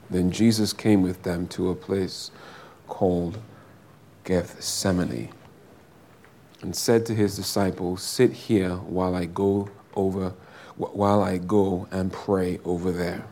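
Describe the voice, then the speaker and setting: A man with a big booming voice preaching a sermon and praying fervently, drawing out his phrases and repeating them for emphasis. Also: steady tone, warm tone, a little hiss or hum. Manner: slow, drawn-out, fervent delivery with repeated phrases. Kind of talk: sermon with prayer.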